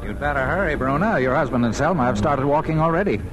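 A man's voice: fairly high pitch, quick pace, close to an everyday voice. A man playing a kindly village pastor in a 1940s radio drama. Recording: noisy 1940s radio broadcast recording.

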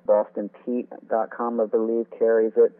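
A man's voice: lowish voice, completely flat delivery, normal speed. A man speaking as an author and guest in an informative interview over a phone-like line.

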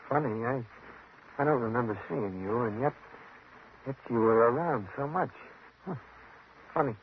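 An actor playing a guarded, secretly murderous tough guy in a 1950s radio drama, talking in a terse, halting, evasive way. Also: mid-range voice, lightly acted. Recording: muffled, noisy old radio recording.

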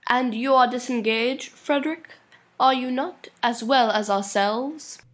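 A man voicing a brisk, genteel older woman, a captain's wife, in an audiobook. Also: extremely high pitch, fairly bright tone, voice pushed up. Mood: polite. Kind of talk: audiobook character voice.

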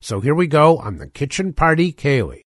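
Male radio host, dry studio sound, relaxed and informative. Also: a little high, normal speed, dry recording. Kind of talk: radio show links.

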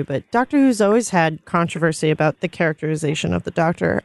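A woman co-hosting an interview and chatting casually. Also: low-pitched. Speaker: podcast co-host.

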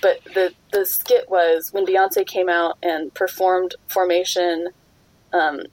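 A young woman hosting a podcast, chatty and quick to laugh as she steers the talk back on topic. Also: fairly low pitch, little pitch movement, darkish tone, no echo, rough recording. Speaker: podcast host. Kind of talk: casual chat.

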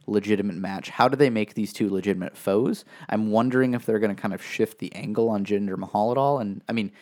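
A man's voice: lowish voice, fast, darkish tone. A man co-hosting a casual wrestling podcast, rambling and restarting his sentences, with frequent chuckles. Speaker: podcast co-host.

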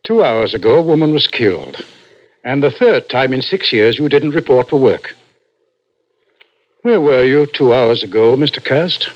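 Crafty detective in a vintage radio crime play, played by a man: mid-pitched, insinuating, coaxing, on a lo-fi broadcast recording. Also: lively intonation, no echo, natural voice. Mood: probing, formal. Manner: deliberate, halting, insinuating, leading questions. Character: crafty male police interrogator, coaxing and falsely confiding. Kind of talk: 1960s radio drama acting.

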